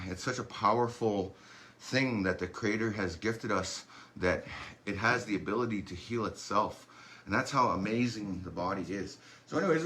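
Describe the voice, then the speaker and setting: A man leading a warm-up and stretching class in a relaxed, encouraging, chatty way, adding small asides as he goes. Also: medium pitch. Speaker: fitness instructor. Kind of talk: guided workout instruction.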